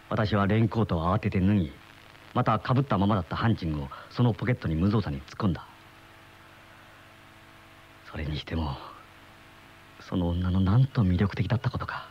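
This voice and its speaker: An actor playing an uneasy middle-aged husband in a radio mystery, with brooding, dramatic storytelling. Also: male voice, on the low side, animated, brisk, long pauses, a little hiss or hum, natural voice. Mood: brooding, dreamy. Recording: muffled old radio drama recording, music behind.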